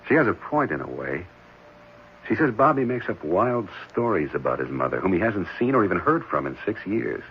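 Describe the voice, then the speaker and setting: An actor playing a gentle, earnest married foster father in a 1970s radio drama, speaking hesitantly and reassuringly. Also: sits low, very animated, a little fast. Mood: pensive. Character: gentle, caring married man fostering an orphan boy. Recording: muffled, noisy old radio broadcast.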